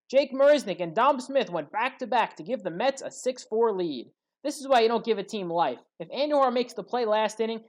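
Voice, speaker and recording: A man narrating scripted play-by-play sports highlights as a commentator, with dramatic emphasis.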